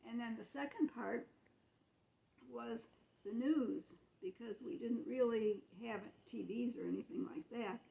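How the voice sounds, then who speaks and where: Creaky elderly American woman. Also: on the high side, unhurried, mellow, low-quality recording. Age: 80s. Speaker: grandmother recalling her childhood. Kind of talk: casual storytelling to family.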